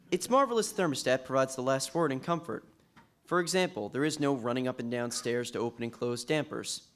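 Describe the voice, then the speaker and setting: A man plays a heating expert in a vintage-style radio commercial. The voice is mid-range. The delivery is formal and cordial, a carefully scripted, persuasive sales pitch. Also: natural voice. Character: friendly male heating expert pitching a product. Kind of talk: old-time radio commercial.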